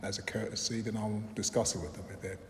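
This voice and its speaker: Low-voiced man in an echoey hall. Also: flat delivery. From London, England. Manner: thoughtful, measured, frequent "um" and restarts. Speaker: interviewee, filmmaker and lecturer. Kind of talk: reflective interview answers. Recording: roomy echo with long reverb, faint background noise.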